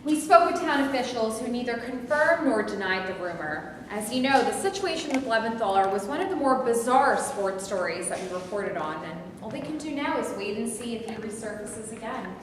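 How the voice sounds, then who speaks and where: Distant in a very echoey, noisy hall, a woman plays an earnest sports correspondent. Her scripted report comes in a fairly high voice. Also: expressive, a little fast, hardly any pauses, lightly acted. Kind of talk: staged reading of a scripted broadcast. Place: hall at a staged reading. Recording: very echoey hall, distant mic, clear noise.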